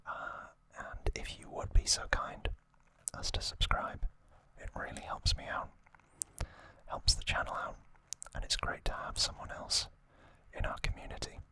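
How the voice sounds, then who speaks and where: A male ASMR host speaks in a crisp, hissy whisper that is almost fully unvoiced. His delivery is gentle and slow, broken by pauses. The breathy sound is strikingly bright as he addresses his viewers. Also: poor audio.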